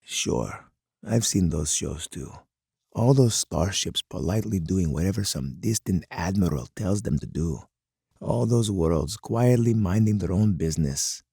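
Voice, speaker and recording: A menacing video game colonel with a very low, gravelly voice. He is a hardened strategist who speaks in stern, deliberate speeches, with a dark, husky tone. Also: animated, no room sound, clean studio sound, heavily acted. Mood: scornful, stern. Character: hard, commanding older male military colonel, menacing strategist. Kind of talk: dramatic scripted game character monologue.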